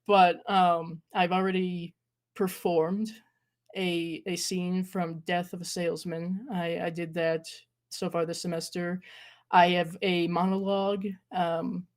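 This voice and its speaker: A woman's voice: on the low side, flat delivery, slow pace. A young woman, a guest talking about her acting, speaking hesitantly with many fillers and small laughs. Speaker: guest, horror creator and acting student. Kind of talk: casual interview chat.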